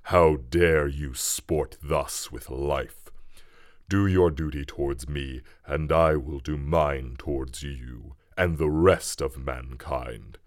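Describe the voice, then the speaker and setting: Man doing an abandoned man-made creature, very deep voice, slow, mournful, threatening. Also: no room sound, studio quality. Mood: grave, menacing. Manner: slow, grave, mournful and menacing. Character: wretched, vengeful male creature made by a scientist.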